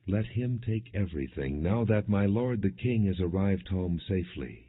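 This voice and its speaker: A man's voice: deep voice, lively intonation, normal speed, husky. A man reading the words of a lame, humble servant pleading earnestly with his king in a scripture audiobook. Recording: dull, muffled lo-fi audio.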